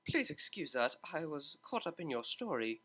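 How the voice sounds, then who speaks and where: A man's voice: on the high side, very animated, normal speed, pushed and bright, exaggerated voice. A man reading the lines of a refined young female cellist pony, formal and politely reserved. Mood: earnest.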